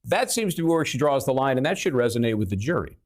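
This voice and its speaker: A man hosting a live legal panel, putting questions to analysts in a halting, emphatic way that often repeats words. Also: mid-range voice, big pitch swings. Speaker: host of a legal discussion show.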